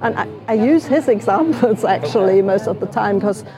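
A woman's voice: mid-range voice, expressive, normal speed, a crowd in the background. A middle-aged German-born woman talking about language teaching in an interview, thoughtful and hesitant, with frequent fillers. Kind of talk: casual interview conversation.

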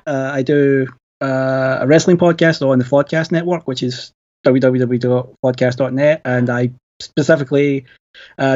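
Glaswegian man, podcast guest, halting delivery, dull lo-fi sound. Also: medium pitch, darkish tone. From Scotland. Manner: hesitant, many fillers and restarts. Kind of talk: casual chat.

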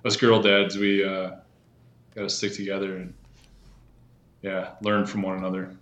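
A man in his thirties chatting casually as a podcast guest, halting with many fillers and restarts. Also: sits low, monotone, fairly bright tone, reverberant, lo-fi sound, a little hiss or hum. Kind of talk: casual conversation.

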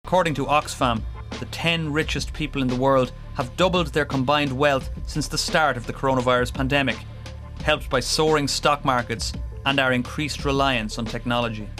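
A man's voice: mid-range voice, fairly even intonation, normal speed, fairly bright tone. A man delivering scripted narration for a business news report. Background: background music.

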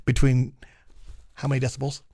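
A man co-hosting a casual sports radio show, reading trivia aloud with chuckles and admitting he gets loud when excited. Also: medium pitch, brisk. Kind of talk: casual sports talk banter.

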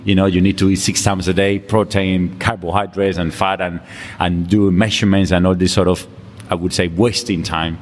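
A man's voice: sits low, little pitch movement, moderate pace. A Colombian man speaking English with a Colombian Spanish accent, chatting easily as an interview guest and laughing often. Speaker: guest, fitness coach. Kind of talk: casual interview chat. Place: gym. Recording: long reverb, faint background noise.

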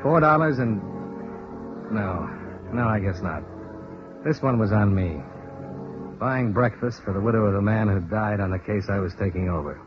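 An actor with an American accent playing a tough, world-weary insurance investigator with a sympathetic side, in clipped 1950s radio detective style. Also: male voice, lowish voice, moderate pace, pauses often, lightly acted. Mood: matter-of-fact, wry. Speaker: lead actor and narrator. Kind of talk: 1950s radio detective drama acting, first-person narration. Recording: dull vintage radio recording with music.

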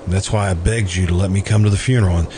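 A man acting an earnest, lovesick, quietly obsessive man in an old-time radio drama, halting and pleading as he repeats himself. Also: deep voice, fairly even intonation, lightly acted. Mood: brooding, resigned. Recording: noisy amateur radio drama recording.

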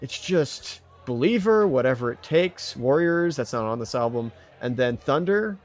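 A man co-hosting a podcast in casual banter, ranting in a halting way and repeating himself with mild exclamations. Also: medium pitch, lively intonation. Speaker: podcast co-host.

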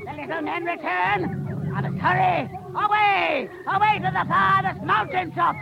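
An actress playing a wicked old witch posing as a wheedling granny, with a creaky cackle, in a theatrical 1930s radio drama style. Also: very high voice, crowd noise, background noise, full character voice. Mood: shrieking, triumphant. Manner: slow, coaxing, theatrical. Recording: muffled vintage 1930s radio recording.

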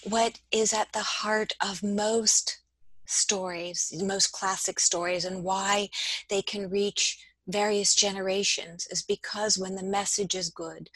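A woman's voice: mid-range voice, fairly bright tone, no room sound, low-quality recording. A woman interviewing a guest, asking questions and agreeing emphatically.

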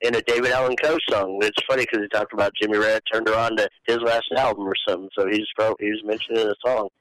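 A man's voice: on the low side, flat delivery, quick pace, a bit bright, dry recording, poor audio. A man chatting casually as a panelist on a radio talk show. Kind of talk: casual chat.

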